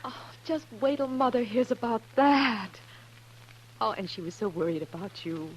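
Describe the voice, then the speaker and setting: A woman's voice: a little high, animated, normal speed, natural voice. Woman playing an earnest, anxious young sister. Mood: eager, affectionate. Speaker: actor in a radio western. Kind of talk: 1950s radio drama acting. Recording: humming, noisy 1950s radio recording.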